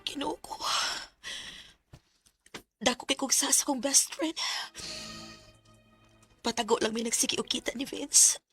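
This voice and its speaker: A woman's voice: sits high, steady tone, measured pace, long pauses, a bit bright, soft background music. A woman playing an anguished, guilt-torn young woman in a Bisaya radio drama, with a Cebuano Filipino accent and emotional, sighing delivery. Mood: anxious, guilty. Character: Mina, anguished young woman secretly loving best friend's boyfriend.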